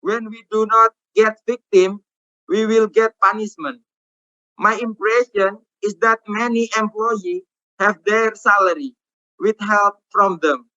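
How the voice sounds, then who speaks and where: A man with an Indonesian accent reading a prepared witness statement aloud in halting, deliberate phrases. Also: high voice, steady tone, sharp tone, dry recording. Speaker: witness giving testimony. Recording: dull, slightly muffled audio.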